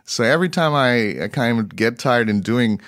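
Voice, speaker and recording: A middle-aged man with a Filipino accent telling stories as an interview guest, conversationally and a little haltingly as he searches for words. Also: mid-range voice, expressive, fairly bright tone, no room sound, clean studio sound. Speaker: guest missionary broadcaster.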